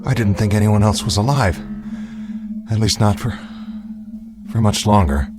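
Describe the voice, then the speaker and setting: An actor playing an earnest male survivor who pleads with a crowd and speaks gravely in a radio drama. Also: fairly low pitch, normal speed, lightly acted. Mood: weary. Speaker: keeper of the cave's secret. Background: music score.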